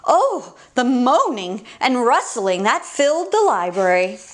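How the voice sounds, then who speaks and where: A high-voiced woman reads a picture book to young children as a story time host. Her delivery is slow and very expressive, with lively swings in pitch and a sing-song, exclaiming manner.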